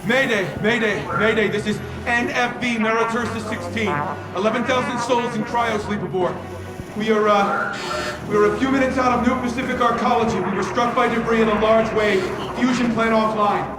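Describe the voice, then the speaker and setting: Freaked out captain on a radio mayday, super high strained voice, loud music under it. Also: male voice, steady tone, normal speed, roomy sound, rough recording. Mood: urgent. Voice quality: strained, urgent radio call. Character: panicked male ship captain sending a distress call.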